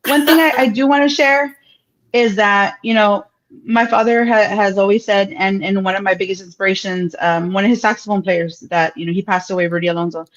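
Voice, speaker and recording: A woman's voice: medium pitch, fairly even intonation, brisk, lo-fi sound. A woman musician guesting on a radio show, speaking in an earnest, reflective way. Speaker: band member, guest. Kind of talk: radio interview.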